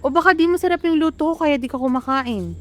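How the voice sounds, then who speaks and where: Woman playing a high-voiced, chatty Filipino mother. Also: fast, natural voice. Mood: teasing, welcoming. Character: chatty teasing middle-aged mother of three. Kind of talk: amateur radio drama acting.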